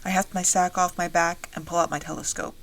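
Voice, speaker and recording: A woman playing a wry dragon-riding soldier, narrating a fantasy audio drama in the first person in a measured storytelling way. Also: on the low side, steady tone, a bit bright. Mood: composed, watchful.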